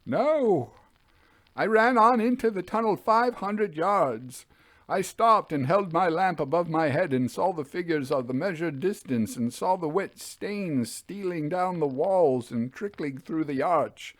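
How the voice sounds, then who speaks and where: A man voicing a haunted railway signalman who recounts a spectre in a grave, halting way, as in a ghost story. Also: fairly high pitch, lively intonation, no echo, voice pushed up. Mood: agitated, dreading. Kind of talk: dramatic reading of a classic ghost story.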